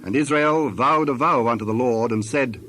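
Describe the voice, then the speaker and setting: Solemn male Bible narrator, mid-pitched. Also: bright, forceful tone, slight background noise. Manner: measured, solemn storytelling in short phrases. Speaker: scripture narrator. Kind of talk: scripted dramatized Bible narration.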